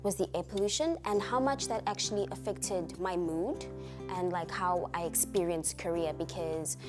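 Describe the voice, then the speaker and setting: A woman in her twenties with a South African accent, giving measured answers as an interviewee. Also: lowish voice. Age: 20s. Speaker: interviewee, English teacher. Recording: music behind the voice.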